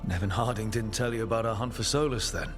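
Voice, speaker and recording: An actor playing a wry, supportive adventurer and leader in a video game, with a casual delivery. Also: male voice, fairly low pitch, fairly even intonation, fast talker, faint music behind, close to an everyday voice. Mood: wry, amused.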